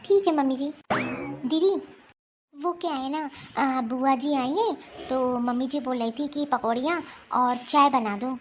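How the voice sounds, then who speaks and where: Woman playing a younger daughter-in-law, young, proud, cheeky taunts, very high voice. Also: a little slow, slight background noise. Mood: polite. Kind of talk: homemade family skit acting.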